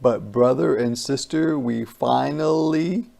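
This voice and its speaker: Slow, creaky storybook reader. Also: medium pitch, dark tone. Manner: theatrical, deliberate phrasing. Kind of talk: dramatic rhyming children's read-aloud.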